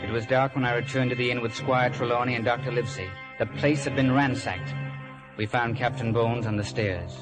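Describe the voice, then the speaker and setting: Man as adventure storyteller, mid-pitched, scripted first-person narration, clear music underneath. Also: fairly even intonation, fairly quick, lightly acted, rough recording. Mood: storytelling. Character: storyteller recalling his boyhood sea adventure.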